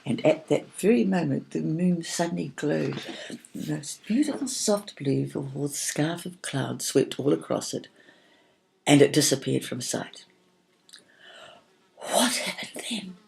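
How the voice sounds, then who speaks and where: A woman storyteller reading a children's story expressively, in a gentle manner with dramatic pauses. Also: sits low, creaky.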